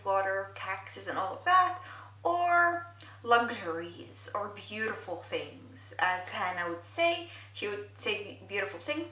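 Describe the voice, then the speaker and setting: Bright-voiced woman vlogger, Arabic native speaker. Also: medium pitch, reverberant, far from the mic, poor audio. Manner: thoughtful, wordy, many fillers. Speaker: beauty vlogger. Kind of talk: get-ready-with-me makeup chat. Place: bedroom, indoors.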